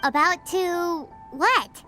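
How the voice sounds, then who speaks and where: A woman voicing a tiny, childlike female fairy companion in a video game, squeaky, perky and cheeky. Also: very slow, heavily acted. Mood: curious. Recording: loud music behind the voice.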